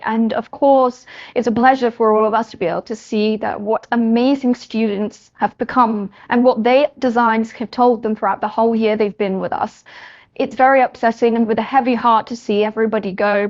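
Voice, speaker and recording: A woman's voice: a little high, close to an everyday voice. A woman playing a gracious school head, giving a formal welcome speech in slightly dull sound.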